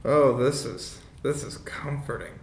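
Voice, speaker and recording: A man chatting casually and haltingly as a player in a tabletop game. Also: vocal fry. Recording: roomy echo, rumbling background noise.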